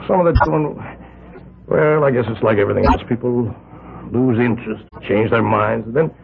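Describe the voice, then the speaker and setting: A man's voice: mid-range voice, brisk, gravelly, slight background noise, natural voice. An actor playing a wealthy older island patriarch in a 1970s radio drama, rambling and stammering through his excuses. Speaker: island community leader. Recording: tinny lo-fi 1970s radio broadcast.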